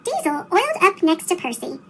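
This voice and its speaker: Very high chipmunk-voiced woman reading a story. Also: very animated, fairly quick, pushed and bright, slight background noise, poor audio. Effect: sped-up chipmunk voice, childlike. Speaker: storybook reader. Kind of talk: scripted children's story read-aloud.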